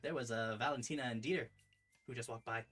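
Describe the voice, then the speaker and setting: A fast-talking streamer with a mid-pitched voice reads a visual novel aloud. The delivery is casual, with offhand asides between the lines, and music plays behind. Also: fairly bright tone. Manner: switches between character voices and offhand remarks. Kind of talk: casual live character read-aloud with asides.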